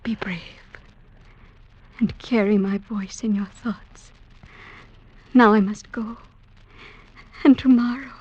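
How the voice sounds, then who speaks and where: An actress in a 1940s radio drama playing a tender young woman who pleads softly with her lover, her voice breathy and often whispered. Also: mid-range voice, fairly even intonation, takes it slowly, pauses often. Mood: tender pleading. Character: tender young woman, the blind hero's beloved. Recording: dull lo-fi old radio recording.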